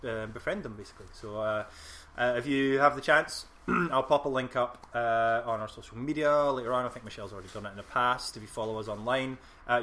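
A man's voice: on the low side, bright, forceful tone. A man co-hosting a casual podcast, giggling often and rambling through fillers, recorded on a phone in a room with a small audience. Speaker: podcast co-host. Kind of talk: casual chat, live-streamed podcast.

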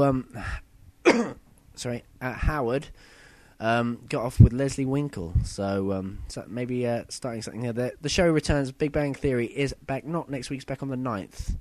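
A young man hosting a casual podcast, a bit husky from a sore throat, chatty and rambling. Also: mid-range voice, normal speed, darkish tone, no room sound. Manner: chatty, loose and rambling, with fillers and self-corrections. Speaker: podcast host. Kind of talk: casual solo podcast chat.

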